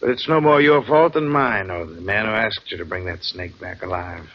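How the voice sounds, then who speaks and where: An actor playing a weary, fatherly ship's captain in an old radio drama, with a gravelly, husky voice. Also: lowish voice, moderate pace, natural voice. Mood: fatherly reassurance. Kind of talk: 1940s-50s radio drama acting. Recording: dull vintage radio drama recording.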